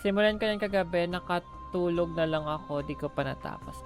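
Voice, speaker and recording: A woman's voice: fairly low pitch, fast talker. A woman with a Filipino accent chatting casually on a game livestream, switching between languages. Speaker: game streamer. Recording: game music faintly behind.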